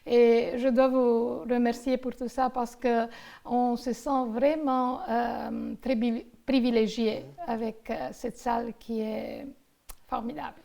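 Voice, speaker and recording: A woman's voice: fairly high pitch, level tone, slow, creaky, warm tone. A woman interviewing someone casually about film, talking in a rambling, hesitant way full of digressions.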